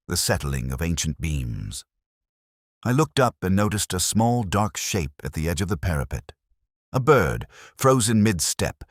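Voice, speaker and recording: A middle-aged man reading a scripted first-person detective story in a measured, brooding, literary manner. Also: rumbling low, dry recording, studio quality.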